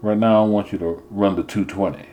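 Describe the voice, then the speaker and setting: A man's voice: fairly low pitch, steady tone, brisk, dark tone, muffled recording, close to an everyday voice. A man voicing a stern male track coach who gives firm, insistent instructions. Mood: instructive. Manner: commanding, insistent.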